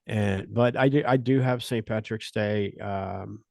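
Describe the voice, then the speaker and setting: A middle-aged man hosting a casual podcast chat, rambling and halting as he talks. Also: fairly low pitch, gravelly, darkish tone, dry recording, clean studio sound. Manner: rambling, halting, restarts often. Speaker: podcast host.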